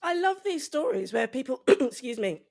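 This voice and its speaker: A woman hosting a podcast interview in a bubbly, effusive way, a little croaky from a cold. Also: high-pitched, sing-song, moderate pace, a little mellow. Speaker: podcast host.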